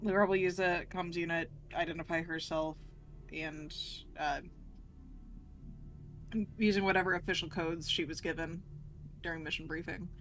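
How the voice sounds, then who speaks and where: A woman's voice: lowish voice, fairly even intonation, normal speed, long pauses, a bit bright, soft background music, low-quality recording. A woman playing a tabletop role-playing game, talking through her moves in casual game-table chat.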